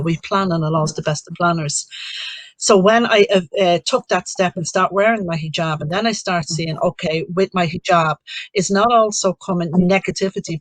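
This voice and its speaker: A middle-aged woman with a Dublin accent, speaking earnestly as a guest on a live community discussion, with frequent pauses. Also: on the low side, no echo, rough recording. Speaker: panel guest.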